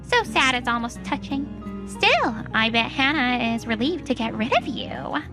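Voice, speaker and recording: A woman's voice: high voice, animated, unhurried, over music. A woman playing a haughty, condescending, sneering rich in-law in a manga dub. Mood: mocking. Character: haughty, mocking rich female in-law, cruel snob.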